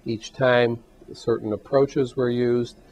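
An older man, a heart failure physician, explaining things in a measured way in a hospital exam room. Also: mid-range voice, flat delivery, a little mellow. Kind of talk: interview testimonial. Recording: slightly dull sound.

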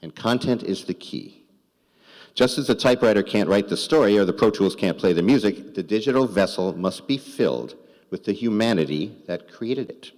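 A man's voice: fairly low pitch, animated, moderate pace, darkish tone. An older man delivering a scripted commencement address as keynote speaker. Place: large indoor auditorium. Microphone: podium microphone. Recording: reverberant hall, podium microphone.

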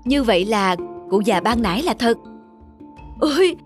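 A high, exclamatory voice for a weary fairy-tale stonecutter, acted by a woman. He is plaintive and never content with his lot. Music plays clearly behind the cartoon dialogue. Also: lively intonation, moderate pace, close to an everyday voice. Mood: wishful, delighted. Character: weary, discontented male stonecutter granted wishes, easily delighted and dismayed.

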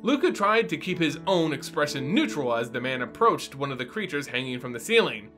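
A man delivers dramatic story narration and explanation as a host. His voice sits fairly high and moves with great animation. He sounds theatrical and teacherly, with music playing behind him. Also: very animated, fairly quick. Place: small home room, indoors.

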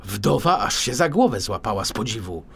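Animated male children's storyteller. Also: on the low side, normal speed, gravelly, slightly rough. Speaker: audiobook narrator. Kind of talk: expressive children's story narration.

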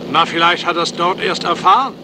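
A man's voice: high-pitched, brisk, natural voice. An actor playing an inquisitive, pondering man in the style of a 1970s radio crime play. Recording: noisy motorboat ambience on the water.

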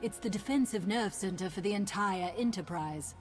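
A woman's voice: medium pitch, moderate pace, close to an everyday voice. A woman playing a bold, ruthless female admiral in a video game, commanding and formal, with a sardonic edge. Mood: rousing command. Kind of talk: video game cutscene acting. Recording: orchestral game music behind.

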